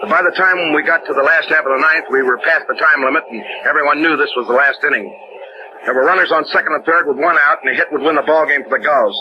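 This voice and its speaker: A dull, noisy vintage radio recording. A man plays a short-tempered, weary umpire with a slightly high voice. He is terse and exasperated, speaking like an old radio drama lead. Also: quick pace, lightly acted. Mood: tense narration. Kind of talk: old-time radio drama acting with first-person narration.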